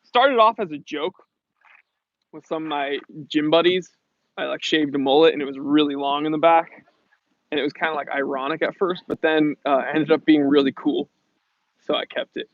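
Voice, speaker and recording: Higher-voiced American man, muffled livestream audio. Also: moderate pace, no echo. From New England, USA. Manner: laid-back slangy, jokey, rambling. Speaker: livestream host. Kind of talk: casual livestream Q&A chat.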